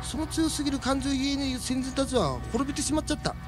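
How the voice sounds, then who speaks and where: A man's voice: very high-pitched, quick pace, faint music behind. An actor playing a folksy, chatty alien man who explains things in a Japanese radio drama. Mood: explanatory, chatty.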